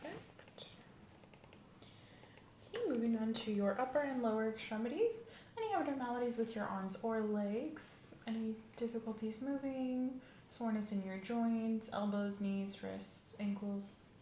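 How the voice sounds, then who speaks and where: A woman's voice: a little high, a little slow, dark tone, slight background noise. Woman in roomy ASMR medical roleplay. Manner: methodical, questioning, professional bedside delivery. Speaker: ASMR performer playing a medical examiner.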